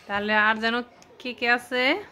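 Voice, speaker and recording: A woman's voice: on the high side, fairly even intonation, slow, crowd noise. A woman filming a casual home video, asking her young son questions in a coaxing, curious way. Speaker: parent filming her child.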